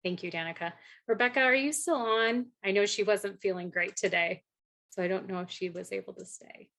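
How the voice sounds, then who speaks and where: A woman hosting an online lecture, calm and checking in on speakers. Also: medium pitch, a little fast, creaky. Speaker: lecture host.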